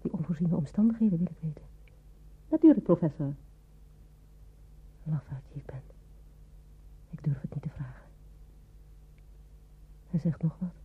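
A woman playing an anxious, timid hospital nurse in a radio drama, with hushed inner thoughts and dutiful replies, on a tinny old recording. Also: fairly low pitch, husky. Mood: nervously dutiful. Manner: hushed whispered asides between dutiful phone replies.

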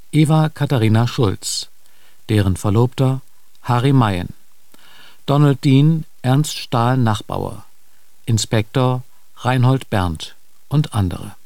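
A man's voice: medium pitch, vocal fry, darkish tone, dry recording. A man making a formal, scripted announcement of radio play credits.

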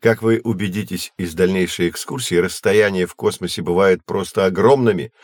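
Astronomer guide, slightly deep, dry close sound, didactic and patient, for a children's educational audio play. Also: male voice, fairly even intonation, darkish tone, dry recording. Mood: explaining. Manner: measured, didactic, clearly enunciated. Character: patient, learned male astronomer guiding children. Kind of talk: scripted educational audio drama.

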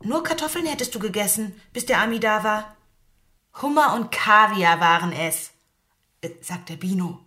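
A woman's voice: medium pitch, expressive, moderate pace, bright, forceful tone. An actress playing an insistent, reproachful nineteen-year-old woman in a radio drama. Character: reproachful nineteen-year-old woman, practical, pressing her older lover.

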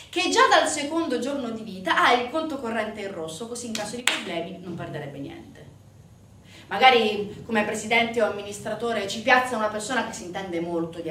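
A woman's voice: medium pitch, natural voice. An actress playing an indignant, sardonic storyteller in a theatrical monologue, putting emphatic rhetorical questions to her audience. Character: indignant, sardonic woman storyteller denouncing organized crime. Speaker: stage actress in a solo show. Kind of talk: theatrical narrative monologue on organized crime. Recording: roomy, distant stage recording, faint noise.

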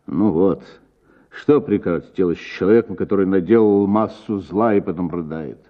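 An actor playing an irritated, blunt gentleman in a radio story, scolding in a curt, exasperated manner. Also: low voice, normal speed, muffled recording, lightly acted.

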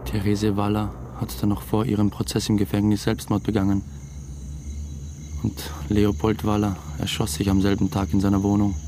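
A man's voice: fairly low pitch, monotone, normal speed, background noise, natural voice. A young man acting as the earnest, reflective first-person narrator of a radio drama, a new father. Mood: reflective narration. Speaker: narrator and lead character.

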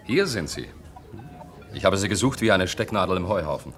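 An actor in a German radio play, playing an ambitious research professor who talks emphatically and persuasively. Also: male voice, deep voice, very animated, brisk, music in the background. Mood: concerned, brisk. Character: insistent, ambitious male professor of medical research.

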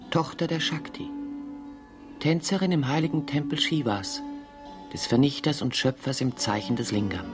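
An actress playing a proud, defiant exotic dancer who mythologizes herself in a theatrical German radio play style. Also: female voice, rumbling low.

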